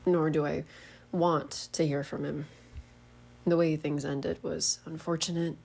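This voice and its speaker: A woman's voice: sits low, flat delivery, unhurried, gravelly, a little mellow, slightly rough, heavily acted. A woman playing a guarded young ex-girlfriend in a found-footage horror film, acted naturalistically. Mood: guarded, matter-of-fact.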